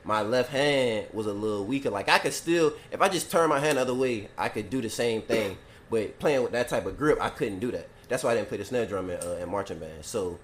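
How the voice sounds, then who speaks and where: A man co-hosting a podcast in a relaxed, joking casual chat, laughing often and swearing freely. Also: lowish voice, brisk. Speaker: podcast co-host.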